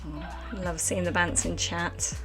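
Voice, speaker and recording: A woman's voice: lowish voice, steady tone, measured pace, over music. A woman streaming a game live, chatting casually and rambling with lots of fillers at a desk microphone. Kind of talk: casual let's-play commentary chatting with chat.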